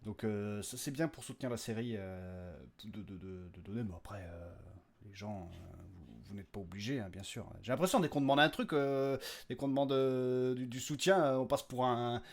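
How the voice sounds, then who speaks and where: A man's voice: mid-range voice, moderate pace. A man hosting his own podcast, giving listeners a casual, off-the-cuff update in a rambling, jokey, slangy way. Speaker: podcast creator and host.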